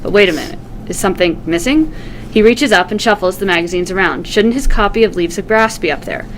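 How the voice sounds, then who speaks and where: A woman's voice: medium pitch, lively intonation, fairly quick, rough recording. Woman narrating screenplay directions, faint noise. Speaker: stage directions reader. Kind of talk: scripted narration of screenplay directions at a table read.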